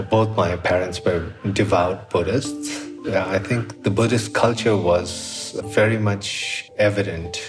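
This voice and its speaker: A middle-aged man speaks in a low voice with a Nepali accent. He shares personal reflections slowly and thoughtfully, breaking his sentences into halting phrases, with faint music underneath. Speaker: artist being interviewed.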